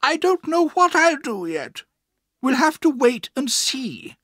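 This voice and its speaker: A narrator voicing a stern, sharp-tongued older woman, an aunt with an emphatic, commanding manner, in a storybook reading. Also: medium pitch, very animated, a little slow, dry recording, clean studio sound, voice pushed up. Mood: firm.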